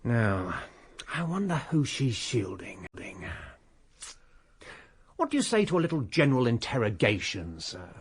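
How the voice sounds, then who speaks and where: Husky police inspector. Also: male voice, mid-range voice, very animated, measured pace, pauses often, heavily acted. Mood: businesslike, confiding. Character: dogged, probing English police inspector, politely persistent. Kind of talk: 1920s country-house radio mystery acting.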